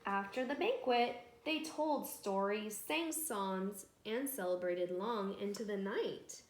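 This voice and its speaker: A woman reading a picture book aloud in an expressive, deliberate, teacherly way, stressing key words and adding asides for young listeners. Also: medium pitch. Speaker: read-aloud storyteller. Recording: echoey room with faint background noise.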